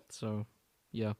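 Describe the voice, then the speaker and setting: A man's voice: fairly low pitch, darkish tone, no echo, studio quality. A man co-hosting in casual, joking banter, teasing and playing mock-hurt. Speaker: podcast co-host.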